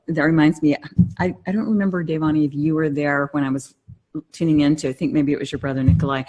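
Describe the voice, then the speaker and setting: A woman's voice: deep voice, darkish tone, rough recording. A middle-aged woman chatting casually as a podcast co-host in an encouraging, affirming manner. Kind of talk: casual conversational podcast chat.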